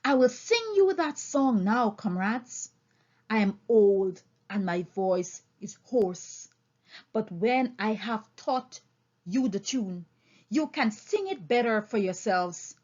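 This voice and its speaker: A woman voicing an old male boar, a solemn, rousing orator addressing fellow animals in an audiobook, deliberate and emphatic. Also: medium pitch, animated, a little mellow, dull audio, pitched below the performer's own voice. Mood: reminiscing, solemn. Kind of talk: audiobook character speech and song.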